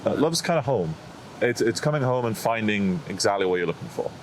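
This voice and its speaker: A man interviewing a friend, asking casual questions in a relaxed, friendly way. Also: fairly low pitch, a little fast, slight background noise, poor audio. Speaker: interviewer and filmmaker.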